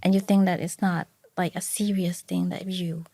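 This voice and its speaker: A woman's voice: on the low side, flat delivery, normal speed, creaky, mellow, dry recording. A woman from Thailand with a Thai accent, speaking English as a second language, candidly chatting as an interview guest about raising her two kids. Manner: candid, restarts sentences while recalling. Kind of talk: casual interview chat.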